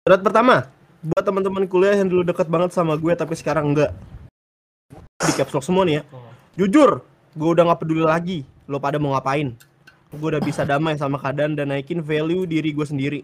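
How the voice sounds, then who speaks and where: Host podcast pria muda, cepat dan jenaka, bacain surat pendengar. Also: male voice, fairly high pitch, fairly even intonation, slight background noise. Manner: fast, joking, reads letters aloud with banter. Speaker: podcast host reading letters. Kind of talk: casual group podcast chat.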